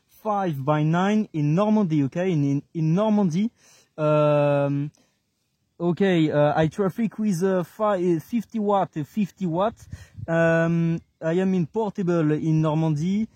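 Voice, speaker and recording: A Frenchman with a high, soft-toned voice makes radio contacts. He has a French accent. He speaks haltingly and often repeats words as he passes his messages. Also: no room sound, studio quality. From France. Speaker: amateur CB radio operator.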